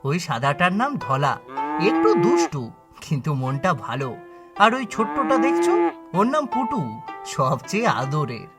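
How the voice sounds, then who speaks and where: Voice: medium pitch, big pitch swings, unhurried, loud music behind the voice. A performer playing a friendly, hopeful village cowherd boy in a fairy-tale cartoon, youthful, open and reassuring.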